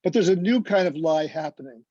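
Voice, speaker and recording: A man with a notably high but warm, mellow voice reflects in a preacherly style. He phrases deliberately, item by item. The video-call sound is slightly dull. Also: animated, no echo. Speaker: roundtable panelist. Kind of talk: reflective, preacherly discussion remarks.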